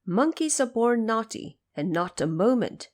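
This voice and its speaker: A woman storyteller giving an expressive, theatrical narration of a scripted storybook audio drama. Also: on the high side, measured pace.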